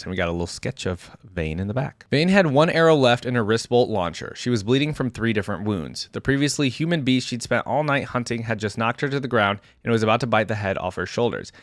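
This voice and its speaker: A man's voice: medium pitch, dry recording, clean studio sound. A man hosting a reaction video, reading a story aloud and breaking off for casual comments.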